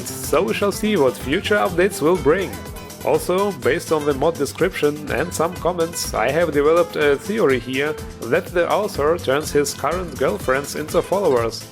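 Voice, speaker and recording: A man narrating a jokey, scripted gaming review as host, playful and tongue-in-cheek. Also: fairly high pitch. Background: music.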